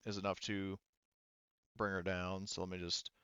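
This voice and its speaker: A man's voice: low-pitched, completely flat delivery, normal speed, lo-fi sound, very noisy. A man acting as game master of a tabletop roleplaying game, casually talking players through rules and results.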